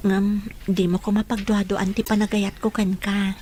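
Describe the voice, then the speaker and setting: A woman's voice: mid-range voice, level tone, moderate pace, dark tone, slight background noise, lightly acted. A woman playing a sweet, hesitant young woman in love in a radio drama, with a Filipino accent. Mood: tender, earnest.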